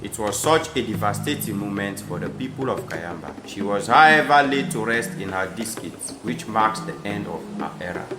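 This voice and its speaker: A young man reads a scripted biographical narration for an audio drama in a measured, formal storytelling way. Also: lowish voice, expressive. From Sierra Leone. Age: young adult. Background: music.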